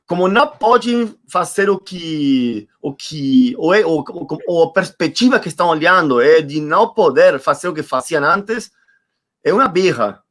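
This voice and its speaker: A man's voice: a little high, expressive, unhurried, a bit bright. A man, reflecting as a guest in an online conversation, searching for words and repeating them for emphasis. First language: Spanish. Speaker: interview guest. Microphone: video call.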